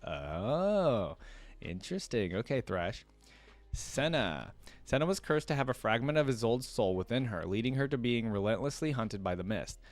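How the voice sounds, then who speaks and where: A man hosting a reaction video, reading text aloud and adding casual off-the-cuff commentary. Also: on the low side.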